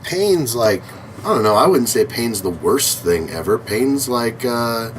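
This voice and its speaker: Chatty podcast dude, voice goes up and down a lot, bit of hiss behind. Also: male voice, medium pitch, sing-song, unhurried. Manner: rambling, loose, swears freely. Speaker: podcast co-host. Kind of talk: casual chat.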